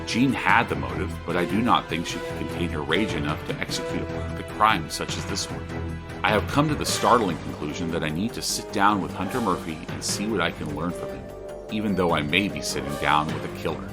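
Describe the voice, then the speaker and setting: An actor playing an earnest, probing true-crime podcast host, narrating and interviewing in a measured, serious way. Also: male voice, deep voice, over loud music.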